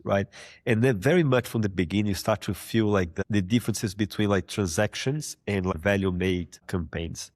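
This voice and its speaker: Brazilian guy with a heavy accent, deep voice, kinda rambly, lots of "like", dry sound. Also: a little mellow, dry recording. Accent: Brazilian Portuguese. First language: Portuguese. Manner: rambling, leans on "like" and restarts. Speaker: podcast guest. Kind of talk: conversational interview.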